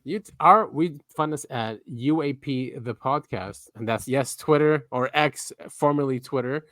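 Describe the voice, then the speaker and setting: A man's voice: mid-range voice, a little slow, no echo. Laid-back man on a dry podcast mic. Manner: relaxed, lots of "yeah" and "um", drifting trailing-off sentences. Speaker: podcast co-host. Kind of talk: casual chat.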